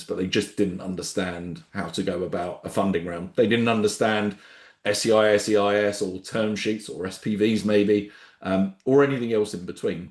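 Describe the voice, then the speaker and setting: A man with a head cold and a nasal voice, hosting a webinar on a video call in a relaxed, rambling way. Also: low voice, echoey room. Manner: relaxed, rambling, frequent ums and restarts. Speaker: webinar host, startup founder. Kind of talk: casual webinar presentation.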